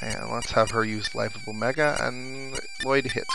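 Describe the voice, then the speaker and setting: A man plays through a video game and comments casually as he goes. His voice sits at an average pitch, and he muses to himself between readings of on-screen text. Game music plays clearly behind him. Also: steady tone, moderate pace, poor audio. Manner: musing, talks to himself. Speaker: let's play commentator. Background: video game music and sound effects.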